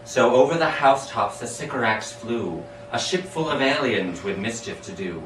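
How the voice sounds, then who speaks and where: A man's voice: medium pitch, normal speed. Echoey, theatrical man reciting verse. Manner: expressive, dramatic, sing-song rhyming delivery. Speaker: amateur poet reading their own poem. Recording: echoey room, distant mic, background hiss.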